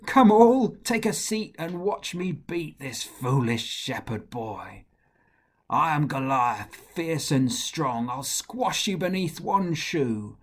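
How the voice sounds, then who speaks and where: A man's voice: a little high, lively intonation, takes it slowly, breathy edge, voice pushed up, heavily acted. Goliath, a man playing a boastful mocking giant. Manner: taunting, boastful. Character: boastful giant male warrior, mocking and proud.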